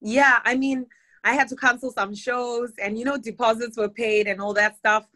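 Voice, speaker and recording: A woman's voice: fairly high pitch, steady tone, moderate pace, sharp tone. Chatty woman from Zimbabwe. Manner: chatty, laughs easily. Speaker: guest musician. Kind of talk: casual interview chat. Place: based in London, on a remote call.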